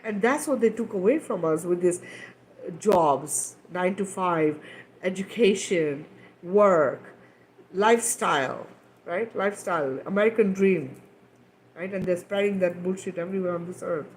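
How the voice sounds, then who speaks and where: Woman from Kenya, lowish voice, rambling livestream healer, faint hiss behind. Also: a little slow. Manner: loose, repetitive, frequent "right?" and fillers. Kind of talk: casual livestream talk, guided healing.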